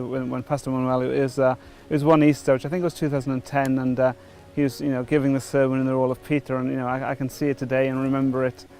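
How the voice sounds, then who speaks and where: Welsh man, middle-aged, even tone, hesitant testimony, soft music underneath. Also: medium pitch. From Wales. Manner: hesitant, many fillers and restarts. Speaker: church member before baptism. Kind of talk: testimony interview.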